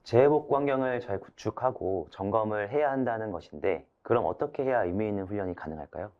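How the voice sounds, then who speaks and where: A man with a slightly low voice hosts a webinar at a quick pace. He politely sums up and puts questions, on a somewhat dull recording. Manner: polite, summarizing. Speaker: webinar host. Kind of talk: moderating with questions. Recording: dull band-limited webinar audio.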